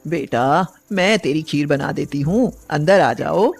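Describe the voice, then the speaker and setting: A woman voicing a poor, tender-hearted old village woman in a storybook tale, speaking gently and devoutly. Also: low-pitched, lively intonation, unhurried, creaky, breathy edge, faint music behind, pitched below the performer's own voice, full character voice. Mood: kindly, eager. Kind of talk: acted storybook dialogue.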